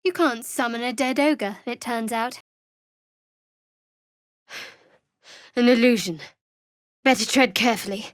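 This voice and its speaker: A guarded young priestess of a dark goddess for a fantasy video game. Her voice sits fairly high and is recorded close and dry. She speaks in clipped, measured phrases with wry, sardonic restraint. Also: no room sound. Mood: musing. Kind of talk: video game voice acting, companion dialogue and battle barks.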